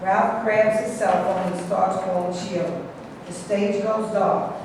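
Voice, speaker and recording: A woman's voice: medium pitch, steady tone, unhurried. A woman reading scene headings and stage directions as scripted narration at a staged play reading. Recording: distant, very echoey hall, clear noise.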